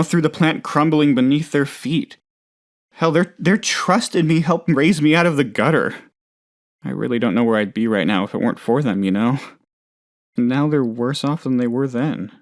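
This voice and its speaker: A man playing an earnest, self-doubting but loyal boss in a video game, talking in a halting, heartfelt, rambling way. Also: medium pitch. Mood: anguished, determined. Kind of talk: expressive game dialogue, conversational monologue.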